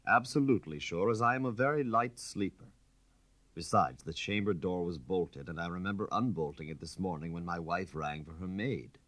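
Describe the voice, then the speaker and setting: A man's voice: sits low, normal speed, a bit bright. A man voicing an anxious aristocratic husband, a count who is insistent and easily flustered, in a storybook reading. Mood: firm. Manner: insistent, stammering when shaken.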